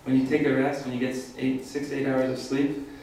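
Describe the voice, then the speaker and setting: A young man on a panel, explaining a point in an even, plain way. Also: mid-range voice, a little fast, slight background noise. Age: young adult. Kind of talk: panel discussion talk. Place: large hall with long table. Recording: echoey hall, distant mic.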